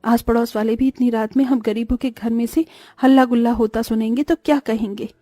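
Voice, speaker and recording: A poor farmer's wife and mother in a moral-story cartoon, acted by a woman. She is middle-aged, mild and placating, with a soft, very dark tone and music underneath. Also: a little high, steady tone, fairly quick, no echo, lightly acted.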